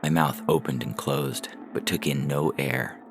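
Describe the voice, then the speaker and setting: A man with a gravelly voice reading a scripted first-person story as narration. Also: extremely low pitch, a little slow. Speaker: fiction podcast reader and announcer. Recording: music bed behind the voice.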